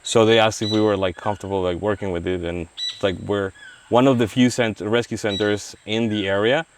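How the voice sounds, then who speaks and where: A man from Mexico speaks English, his voice sitting a little low. He talks in a halting way, with restarts and fillers. He was recorded outdoors in the forest, with faint rain and noise behind him. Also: fairly even intonation. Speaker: wildlife veterinarian. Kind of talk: casual interview. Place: outdoors in a rainforest release site.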